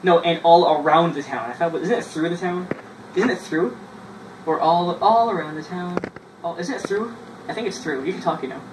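A man, casually reading his old writing aloud in a halting way, with self-mocking asides, in a small bedroom. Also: fairly high pitch. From Canada. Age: 20s. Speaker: vlogger. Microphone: camera a few feet away. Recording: roomy, distant mic with noise.

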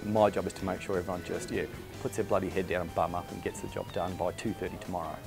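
A man's voice: deep voice, little pitch movement, fast, creaky. A man on a hackathon team, talking bluntly and jokingly in a casual office interview. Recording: music bed underneath.

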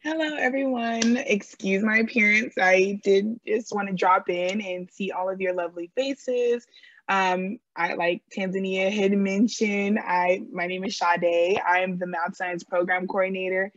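Female coordinator, rushed and apologetic, muffled call sound. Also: mid-range voice, fairly even intonation, dry recording. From Stockton. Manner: hurried, apologetic, laughing. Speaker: program coordinator. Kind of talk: brief drop-in greeting on a video call. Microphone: video call. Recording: dull video-call audio.

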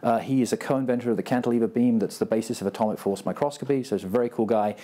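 Fast-talking Texan businessman on a panel. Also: medium pitch, warm tone. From Texas. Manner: brisk, self-deprecating, list-like. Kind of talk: panel discussion remarks. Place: large conference stage before an audience. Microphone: lapel mic.

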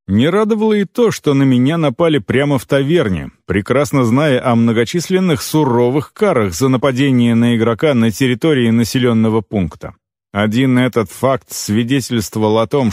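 A man reading a first-person fantasy novel aloud as an audiobook narrator, with expressive storytelling. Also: mid-range voice, no room sound, clean studio sound.

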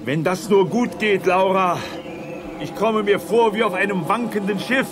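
An actor playing a grumbling, sceptical army general in a sci-fi radio drama, flustered and blustering. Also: male voice, high-pitched, normal speed, noisy background, lightly acted. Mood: uneasy. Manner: blustering, exasperated. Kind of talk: 1980s German radio drama acting.

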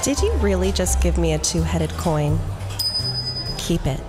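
A woman playing a warm, devoted, teasing girlfriend in an audio drama. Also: fairly low pitch, lively intonation, unhurried, loud music behind the voice. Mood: delighted, teasing.

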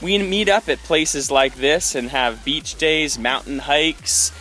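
A man's voice: fairly high pitch, takes it slowly, low-quality recording. Upbeat young man, outdoor phone vlog. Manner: upbeat and enthusiastic. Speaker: meetup organizer. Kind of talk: casual promotional vlog. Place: outdoors at a seaside spring. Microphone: phone camera at arm's length. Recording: background music under voice.

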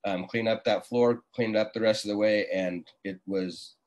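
Low-voiced man chatting on a video call. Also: steady tone, normal speed, a little mellow, dull audio. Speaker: remodeling contractor, guest. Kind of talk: casual interview talk. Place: small indoor room, video call. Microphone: computer mic on a video call.

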